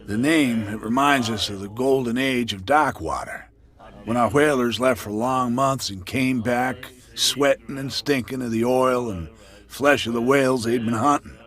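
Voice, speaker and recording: A man's voice: medium pitch, moderate pace, fairly bright tone, natural voice. A man playing a nostalgic, guarded small-town barman who talks like an ominous storyteller in a video game. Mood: nostalgic, proud.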